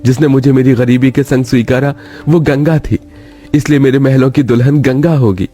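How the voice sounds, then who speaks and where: Guy voicing earnest young rich groom in a cartoon, soft dark tone, music under it. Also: medium pitch, steady tone, normal speed, natural voice. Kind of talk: Hindi cartoon story dialogue.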